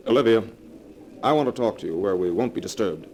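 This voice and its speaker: An ardent young suitor, urgent and dramatic. His voice is on the low side and very animated. It comes through a faintly noisy 1940s radio recording. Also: quick pace. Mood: firm, urgent. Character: evasive, ardent young suitor turning coldly firm.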